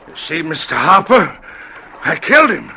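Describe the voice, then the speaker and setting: An actor playing a guilt-crazed, haunted ship captain, pleading and raving in melodramatic old-time radio drama style. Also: male voice, a little high, very animated, normal speed, creaky. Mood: frenzied. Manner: frantic, rambling, melodramatic. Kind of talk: 1940s-50s radio drama acting. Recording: dull, noisy vintage radio recording.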